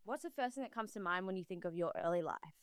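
Host: woman, smooth clear voice, bubbly and warm-hearted delivery, dry sound. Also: on the low side, lively intonation, quick pace, no echo. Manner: bubbly, effusive. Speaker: interview host. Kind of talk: casual interview.

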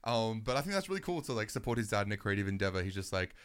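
A man's voice: on the low side, very animated, racing, a bit bright, clean tone. A young man hosting a casual radio show, chatting and rambling with lots of fillers. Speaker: radio host.

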